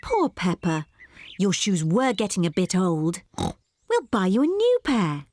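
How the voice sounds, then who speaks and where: A woman voicing a kind, patient mother pig in a cartoon, gentle and reassuring. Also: medium pitch, very animated, unhurried, no room sound. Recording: dry studio cartoon voice.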